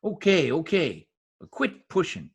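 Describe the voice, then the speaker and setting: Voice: mid-range voice, wildly swooping pitch, unhurried, full character voice. A performer in a 1940s-style radio comedy-mystery voicing a shady, wisecracking informant with slangy, ungrammatical talk. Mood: grumbling, indignant. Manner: streetwise slangy talk with bad grammar. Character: shady, wisecracking lowlife gangland informant nicknamed Piggy. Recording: husky-sounding home recording over video call.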